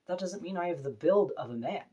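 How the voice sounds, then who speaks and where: A woman with a deep voice, talking to camera in an earnest, opinionated, plain-spoken personal vlog. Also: animated, brisk, mellow, breathy edge. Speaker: vlogger.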